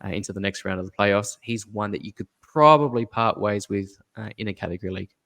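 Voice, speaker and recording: A man co-hosting a fantasy basketball podcast, giving measured advice with fillers and repeating his main points. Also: fairly low pitch, gravelly, a bit bright. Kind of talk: casual podcast analysis.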